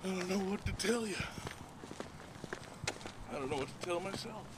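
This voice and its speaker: A man in his thirties with a raspy voice, talking casually to a handheld camera while walking outdoors, rambling and self-deprecating. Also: high-pitched, expressive, moderate pace, very noisy. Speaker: vlogger. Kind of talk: casual daily vlog. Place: outdoors by a road and parking lots. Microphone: handheld camera at arm's length.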